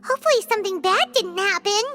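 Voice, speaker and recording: Squeaky, tiny childlike fairy companion. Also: female voice, expressive, quick pace, bright, forceful tone, exaggerated voice. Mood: worried, wistful. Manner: speaks of self in third person. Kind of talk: video game character acting. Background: children's-style game music.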